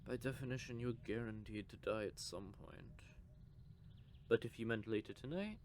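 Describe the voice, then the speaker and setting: A man's voice: fairly low pitch, normal speed, slight background noise, natural voice. A man voicing a teasing, possessive male vampire in an audio roleplay, with a smug, drawling manner. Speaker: voice actor.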